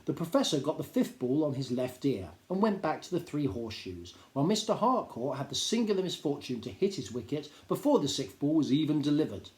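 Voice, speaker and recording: Expressive man narrating in a roomy echo. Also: on the high side. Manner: measured, drily humorous storytelling. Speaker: teacher reading aloud. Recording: roomy, echoing indoor sound.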